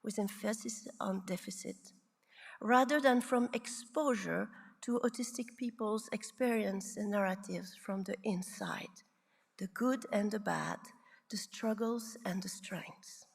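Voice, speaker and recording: A woman in her sixties from Belgium, a psychiatrist giving a prepared, reflective conference talk with measured, deliberate phrasing. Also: medium pitch, gravelly, slightly rough, big-room sound. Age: 60s. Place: large conference hall.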